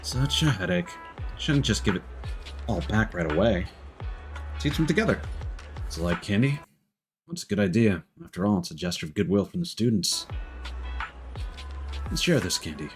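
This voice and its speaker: A man with a fairly low voice reads game text aloud for a stream. His delivery is theatrical and moves around a lot in pitch, with faint game music underneath. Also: sing-song, moderate pace, long pauses. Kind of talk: reading game dialogue aloud with character voices.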